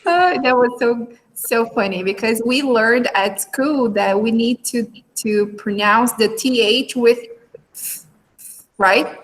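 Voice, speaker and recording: A woman's voice: fairly high pitch, slow pace, a little hiss or hum, rough recording. A woman coordinator hosting a school live stream, speaking hesitantly and often stopping to search for words. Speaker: language coordinator and host.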